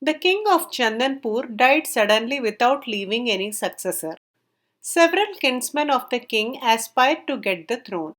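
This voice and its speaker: Female storyteller, high voice, scripted story narration. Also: animated, moderate pace, fairly bright tone.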